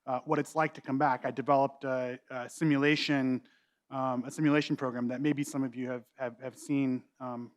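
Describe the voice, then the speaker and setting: A man's voice: mid-range voice, flat delivery, moderate pace. A man who leads a small company, speaking reflectively on a panel with many restarts. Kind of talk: panel discussion answer.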